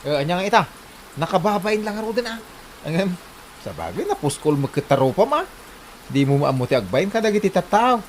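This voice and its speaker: A woman's voice: lowish voice, big pitch swings, brisk, slight background noise. A performer, heard as a woman, acting a mocking, scolding relative in an Ilocano comedy drama, taunting and exclamatory with an Ilocano accent.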